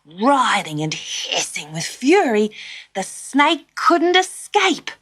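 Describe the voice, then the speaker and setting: A woman reading a children's story as dramatic narration, expressive and full of suspense, often dropping to hushed tones. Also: a little high, takes it slowly.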